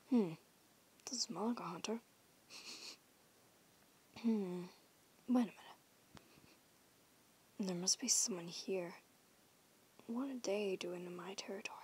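A woman's voice: mid-range voice, heavily acted. A woman voicing a teasing young female werewolf in an audio roleplay, whispering huskily and swearing casually. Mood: suspicious. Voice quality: whispery, husky.

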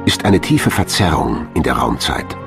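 A man with a low voice narrates a science documentary from a script. His pitch moves up and down in a lively way. Loud music plays behind him throughout. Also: moderate pace, creaky. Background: loud background music.

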